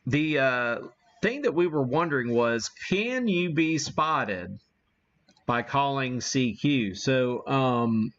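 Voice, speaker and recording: A man hosting a casual, unscripted live radio-hobby demo, thinking aloud in a halting way as he works the equipment. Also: mid-range voice, animated, fairly bright tone, lo-fi sound. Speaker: livestream host, amateur radio operator.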